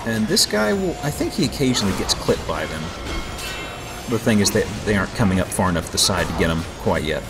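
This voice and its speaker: Animated man commentating on a game. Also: deep voice, quick pace, music in the background. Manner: joking, explanatory. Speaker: let's play commentator.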